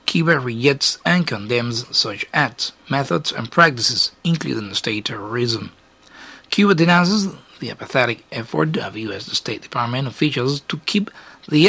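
A man with a husky voice reading a scripted radio editorial. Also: medium pitch, pushed and bright.

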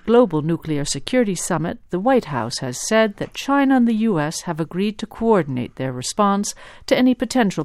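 A woman's voice: low voice, no echo. A woman presenting international radio news in a scripted reading style.

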